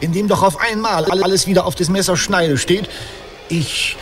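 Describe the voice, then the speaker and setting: An actor playing a sentimental aging Greek hero in a radio play, with a hesitant, poetic, declamatory delivery. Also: male voice, high-pitched, moderate pace, faint music behind, lightly acted. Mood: rapt, wistful. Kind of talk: theatrical German radio drama acting.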